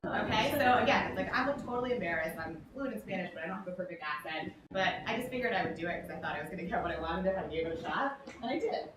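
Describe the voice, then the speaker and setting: A woman's voice: lowish voice, lively intonation, fast, few pauses, background noise. A young woman giving an upbeat motivational workshop talk, with frequent "um" and "like". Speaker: workshop speaker. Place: large echoey event room. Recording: echoey room, speaker far from mic.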